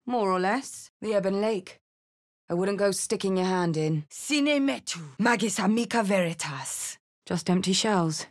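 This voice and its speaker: A woman's voice: medium pitch, animated, a little slow, lightly acted. A woman playing a bold, blunt tiefling warrior in a video game, hot-headed but caring, with a punchy, theatrical delivery. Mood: commanding incantation. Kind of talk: video game character lines and spell chants.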